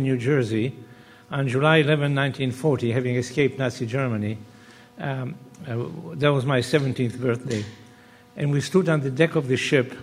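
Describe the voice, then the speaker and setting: An older man, born and raised in Poland, giving a measured academic talk on a panel, pausing often and restarting phrases. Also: mid-range voice. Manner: measured, with frequent pauses and restarts. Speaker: panel speaker. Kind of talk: academic lecture. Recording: reverberant hall.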